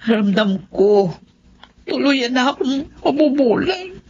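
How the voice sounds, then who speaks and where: A woman's voice: sits high, very animated, slow pace, a little mellow, full character voice. An actress playing a resigned, stubborn middle-aged mother in a radio drama, weary and self-effacing. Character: resigned, stubborn mother losing her sight. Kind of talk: Tagalog radio drama acting. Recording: dull lo-fi audio, faint noise.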